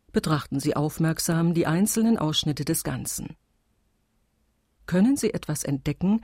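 A formal, impersonal instructor in a radio drama. The voice is soft-toned and dark, recorded very dry. Delivery is neutral and methodical, like numbered exercise instructions. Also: mid-range voice, dry recording. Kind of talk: scripted instructions in a radio play.

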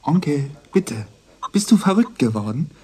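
An actor playing a young, dutiful police dispatcher in a radio crime drama, sounding exasperated and pleading in an official way. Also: male voice, on the high side, sing-song, a little slow, a crowd in the background. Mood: pleading, worried. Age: young adult.

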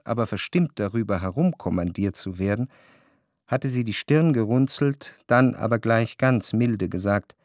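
A male actor as the reflective first-person narrator of a literary radio drama, speaking in a measured way. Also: medium pitch, darkish tone, no echo, studio quality. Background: faint music.

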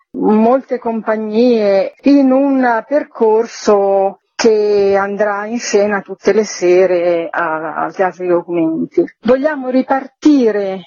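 Unhurried woman interviewee, slightly dull recording. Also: a little high, dark tone, dry recording. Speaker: festival artistic director, interview guest. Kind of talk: explanatory interview talk.

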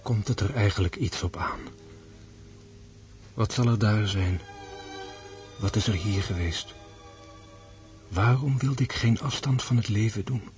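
An actor in a radio drama playing an introspective army officer facing death, delivering a reflective inner monologue. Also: male voice, on the low side, unhurried, long pauses, a little mellow, faint music behind, natural voice. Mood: pained, brooding.

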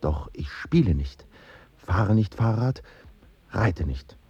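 An actor playing an intense Soviet avant-garde filmmaker in a German radio play, husky and deliberate, declaiming in short phrases. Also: male voice, on the low side, very animated, warm tone, lo-fi sound, full character voice. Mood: detached. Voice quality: husky, rough.

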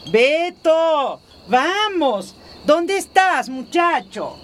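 A woman's voice: sits high, very animated, extremely slow, a little hiss or hum, exaggerated voice. An actress playing a modest, bashful woman who fusses through a radio soap opera scene. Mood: hurried.

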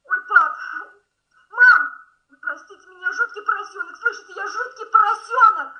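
A woman playing an excitable, affectionate young archaeologist daughter in a radio drama, gushing and exclaiming down a telephone line. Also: very high voice, moderate pace. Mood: affectionate, breathless excitement. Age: young adult. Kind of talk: Soviet radio drama acting. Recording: dull, band-limited phone-call sound.

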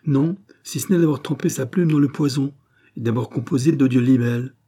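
A man with a husky voice reading the part of a king, asking questions in a measured, authoritative way. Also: mid-range voice, warm tone, voice pushed up, natural voice. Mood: stern.

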